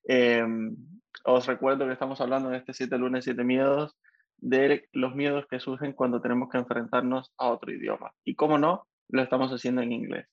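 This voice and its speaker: Male podcast host, gravelly voice, dry dull lo-fi sound, friendly. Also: medium pitch, flat delivery, quick pace, no echo. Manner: friendly, enthusiastic, with fillers.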